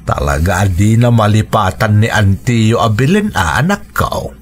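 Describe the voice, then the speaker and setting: Wistful father, lively pitch swings. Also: normal speed. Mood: gentle. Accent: northern Philippine. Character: affectionate ageing father, homebound and wistful. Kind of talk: Ilocano radio drama acting.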